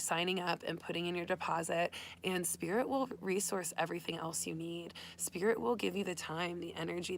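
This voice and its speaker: A woman who has nearly lost her voice, hoarse, hosting an upbeat, spiritual tarot reading for her channel. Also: fairly low pitch, slight background noise. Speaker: tarot reader and channel host. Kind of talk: casual livestream reading. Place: Arizona.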